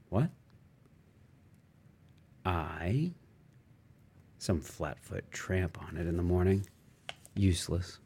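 A middle-aged man narrates literary fiction in a deep, dark-toned voice. He takes his time, setting down short, broken thoughts one by one with measured pauses. The delivery is very slow and relaxed. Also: breathy edge. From United States. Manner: measured, fragmentary phrasing with pauses. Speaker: audiobook reader. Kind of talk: literary narration, stream-of-consciousness reading.

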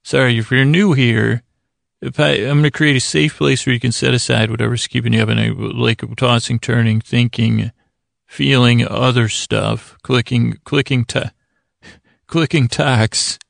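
Creaky-voiced man rambling gently off topic. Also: medium pitch, fairly even intonation, no room sound, studio quality. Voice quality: creaky, gravelly. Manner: meandering, halting, trails off into tangents and self-corrections; whimsical, apologetic. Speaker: podcast host. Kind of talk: rambling improvised bedtime podcast monologue.

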